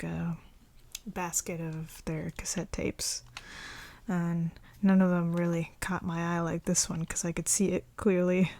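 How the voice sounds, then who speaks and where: Slow, soft-spoken woman doing ASMR. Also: fairly low pitch, dry recording. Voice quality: soft, often whispery voice. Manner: relaxed, unhurried, chatty. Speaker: ASMR video creator showing a collection. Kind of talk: ASMR, slow casual show-and-tell.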